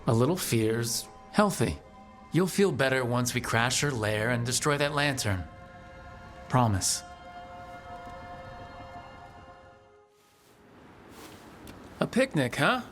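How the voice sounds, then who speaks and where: An actor playing a confident young hero in a video game, with a casual, wry and reassuring manner. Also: male voice, mid-range voice, sing-song, unhurried, a bit bright, faint music behind.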